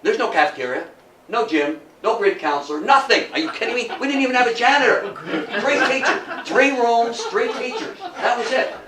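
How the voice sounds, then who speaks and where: A man's voice: very high-pitched, normal speed, a crowd in the background. An older man gives an informal history talk, telling stories emphatically, repeating phrases and asking rhetorical questions. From Athens, New York, United States. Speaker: local history lecturer. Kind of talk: informal public lecture with storytelling. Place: indoor talk before a small audience. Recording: echoey room, distant mic, faint noise.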